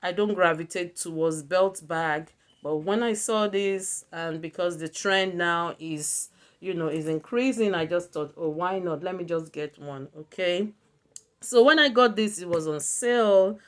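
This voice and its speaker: A woman's voice: on the low side, measured pace. A woman with a Nigerian accent gives a casual product review as a video blogger, often repeating words for emphasis.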